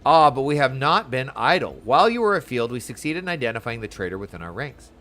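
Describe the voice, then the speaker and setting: Deferential, officious guard officer for a fantasy game, read by a man, formal, medium pitch. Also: sing-song, fast talker, sharp tone. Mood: self-important. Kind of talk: reading game dialogue aloud.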